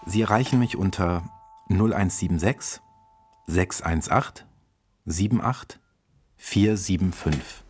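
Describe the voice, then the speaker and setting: Deep-voiced man presenting over music. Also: slow, pauses often, a little mellow. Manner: formal, polite address. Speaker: hotel host presenting a radio piece. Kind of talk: presenter-style announcement addressing listeners. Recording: music behind the voice.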